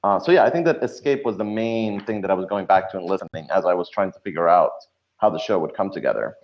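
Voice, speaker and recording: A man's voice: sits low, lively intonation, quick pace. A man chatting in an interview as a guest drama writer, explaining and telling stories in a reflective way. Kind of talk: casual interview chat. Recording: dull lo-fi audio.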